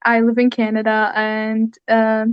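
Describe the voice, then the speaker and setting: Fourteen-year-old girl, earnest, flat and high. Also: measured pace, smooth, dry recording, muffled recording. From Syria. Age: teens. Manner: earnest, soft-spoken storytelling with frequent "like". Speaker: interview guest, young artist. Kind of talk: online interview. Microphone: video call.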